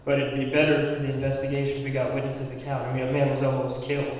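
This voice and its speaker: A man's voice: mid-range voice, completely flat delivery, fast, a little mellow, lightly acted. A man acting a matter-of-fact, probing character in a script table read. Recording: very echoey hall, distant mic, faint noise.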